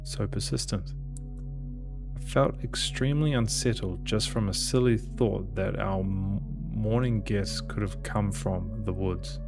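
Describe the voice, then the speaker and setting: Man, low voice, gaming host, read-aloud narration of a visual novel, music in background. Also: gravelly. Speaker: gaming channel host reading game aloud.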